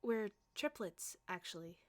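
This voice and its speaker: Soft-spoken teasing woman, slow and close. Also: mid-range voice, lively intonation, no echo. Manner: playful, teasing, often whispering close to the mic. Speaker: voice actress performing an audio roleplay. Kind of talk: flirty ASMR roleplay.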